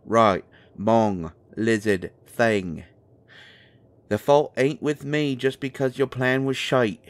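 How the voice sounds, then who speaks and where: A male actor plays a sarcastic British troublemaker with a mid-pitched voice. He is blunt, cheeky and slangy, in urban fantasy audio drama style. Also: steady tone, takes it slowly, no echo. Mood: sarcastic, defensive.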